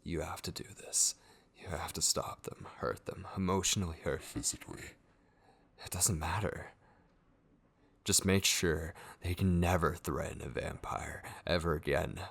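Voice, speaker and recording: A man acting an obsessive, possessive kidnapper in an ASMR horror roleplay, brooding and intense, with a breathy, gravelly voice that often drops into a whisper. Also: low voice, fairly bright tone, full character voice. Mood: cold, urging. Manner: slow, intense, brooding delivery with drawn-out pauses. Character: obsessive, possessive male yandere kidnapper, menacing yet tender.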